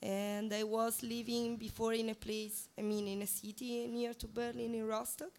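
A woman's voice: on the high side, flat delivery, moderate pace, darkish tone, slight background noise. A woman from southern Italy with an Italian accent, speaking English as a guest on a radio show, often searching for words and repeating herself. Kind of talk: casual interview chat.

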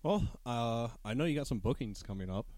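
A man's voice: mid-range voice, animated, brisk, darkish tone, no echo. A man hosting a casual chat with a DJ guest, laid-back and rambling, laughing often. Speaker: podcast host and DJ.

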